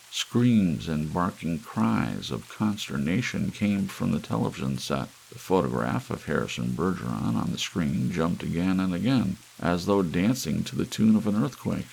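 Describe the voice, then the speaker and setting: Deep, very gravelly male story narrator. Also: animated, measured pace, rough recording. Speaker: audiobook narrator. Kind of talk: relaxed ASMR story narration. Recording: faint hiss, soft rain behind. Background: soft rain.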